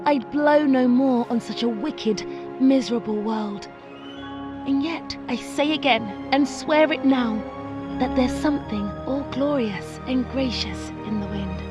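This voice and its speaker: Impassioned girl, high voice, theatrical solemn recitation, clear music behind, animated game. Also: unhurried. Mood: solemn passion.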